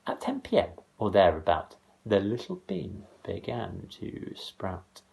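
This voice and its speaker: A man's voice: fairly low pitch, gravelly. A man reading comic verse aloud to children as a dramatic, theatrical storyteller. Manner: dramatic, expressive, deliberate rhymed delivery.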